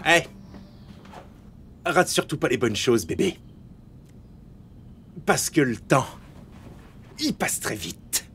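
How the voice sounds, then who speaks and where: A man's voice: medium pitch, big pitch swings, slow pace, pauses often, music in the background. An actor dubbing a teasing man in his forties in a French film. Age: 40s.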